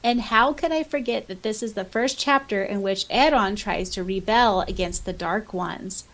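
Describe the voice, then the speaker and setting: A woman's voice: medium pitch, normal speed, fairly bright tone. A woman podcast co-host who chats casually and reads out comments in an enthusiastic, giggly manner. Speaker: podcast co-host and audiobook voice actor. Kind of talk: casual podcast chat, reading out listener comments.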